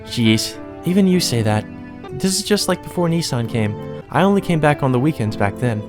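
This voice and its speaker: A man voicing a composed, aloof young heiress in a measured, formal, reserved way. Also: fairly high pitch, expressive, over music, lightly acted. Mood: cool, reserved.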